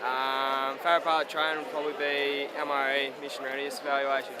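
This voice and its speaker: An Australian man from New South Wales, a graduating recruit, giving relaxed, brief interview answers. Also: mid-range voice, fast. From Gundagai, New South Wales, Australia. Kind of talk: casual interview. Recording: crowd noise behind.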